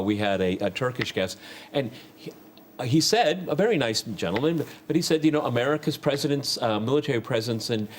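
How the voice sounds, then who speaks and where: A man's voice: mid-range voice, slight background noise. A man hosting a political panel debate, interjecting and repeating his phrases for emphasis as he talks off the cuff. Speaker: talk show host moderating a panel.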